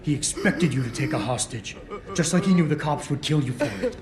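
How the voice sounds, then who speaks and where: An actor playing a stoic, unflappable security operative in a video game, with terse, deadpan delivery. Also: male voice, a little high, a little fast, natural voice. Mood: firm, reasoning. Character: stoic, hard-boiled male security operative, dry and unflappable. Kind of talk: dramatic video game acting. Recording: roomy echo, loud music underneath.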